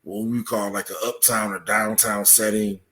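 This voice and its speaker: Low-voiced man explaining matter-of-factly. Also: flat delivery, normal speed, a bit bright. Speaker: podcast guest, writer explaining his subject. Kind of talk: explanatory interview talk.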